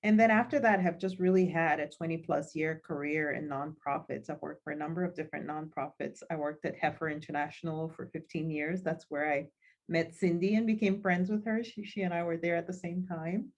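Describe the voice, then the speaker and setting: Interview guest: a woman in her forties from Cameroon, lower-voiced, measured and thoughtful on a video call. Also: steady tone, dull audio. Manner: thoughtful, frequent ums, warm laughs. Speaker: guest, nonprofit school CEO. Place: home office with chalkboard behind. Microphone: video call laptop mic.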